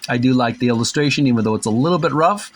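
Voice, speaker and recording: A man's voice: mid-range voice, racing. A man hosting a casual show-and-tell in a folksy, rambling, hesitant way, sometimes reading captions aloud. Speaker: host of a retro gaming channel.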